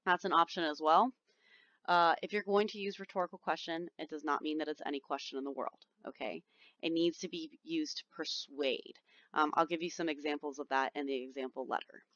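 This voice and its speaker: A woman's voice: sits low, fairly even intonation, fairly quick, a bit bright, dry recording, dull audio. A woman teacher with a somewhat whiny voice, recording casual step-by-step instructions for her class on her computer microphone, with frequent ums. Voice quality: whiny, nasal-sounding voice. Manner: chatty, reassuring, explains step by step with frequent ums. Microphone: computer microphone, screen recording.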